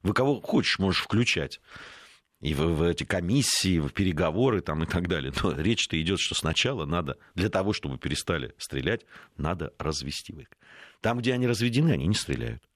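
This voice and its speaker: A man with a very deep voice making his case on a political talk show, lifting his pitch sharply when he hammers home a point. The sound is close and dry. He is recorded in a studio. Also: expressive, fairly quick, a bit bright, no room sound. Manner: argumentative, rising to stress points.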